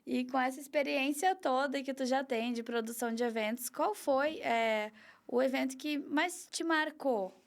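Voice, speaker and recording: A Brazilian woman hosts a casual interview in a high voice. She asks her questions with enthusiasm and reacts readily to her guest. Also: moderate pace. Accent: Brazilian Portuguese. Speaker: host.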